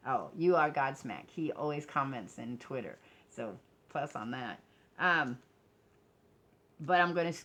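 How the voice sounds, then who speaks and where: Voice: mid-range voice, a bit bright. A middle-aged vlogger talking casually to viewers in a rambling, candid and self-reflective way. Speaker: vlogger and game streamer.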